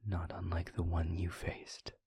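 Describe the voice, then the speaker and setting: A man playing a weary, brooding but protective demon in an intimate audio roleplay, speaking in a husky half-whisper with halting, formal phrasing. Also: low voice, completely flat delivery, mellow, no room sound, full character voice. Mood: reluctant, somber. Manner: slow, halting, formal phrasing with heavy pauses. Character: weary, brooding male demon, gravely guarded yet protective.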